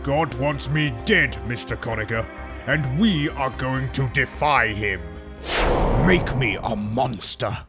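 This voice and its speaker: A man's voice: medium pitch, slow. A man voicing a rich, scheming old man obsessed with outliving his brother, in a fan comic dub. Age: older. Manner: pompous, theatrical, erupts into shouting rants. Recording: voice over loud background music. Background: music.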